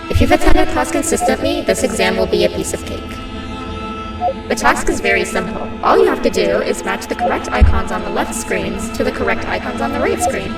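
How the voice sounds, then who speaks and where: A woman playing a strict, menacing schoolteacher in a horror video game, prim, commanding and theatrical. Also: a little high. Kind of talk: video game character acting. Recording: echoey, distant, very loud music.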